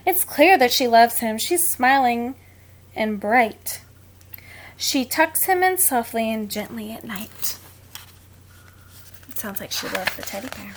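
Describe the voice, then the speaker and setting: A woman's voice: fairly high pitch, takes it slowly, long pauses, slight background noise. A woman reading picture books aloud to children like a teacher, expressively, with simple casual asides. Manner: expressive, simple explaining to young listeners.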